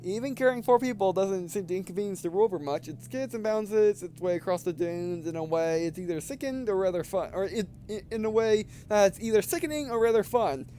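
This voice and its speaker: A man reading game text aloud and commenting casually as he plays, halting and stumbling over words, with some background noise. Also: high-pitched, lively intonation, mellow. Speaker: gameplay video host.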